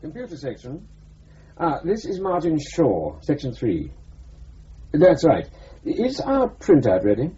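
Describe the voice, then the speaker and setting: An actor playing a brisk, wry, exasperated intelligence officer in a radio drama. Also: male voice, fairly high pitch, wildly swooping pitch, mellow. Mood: brisk, irritated. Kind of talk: broadcast radio drama acting. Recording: muffled radio recording, faint hiss.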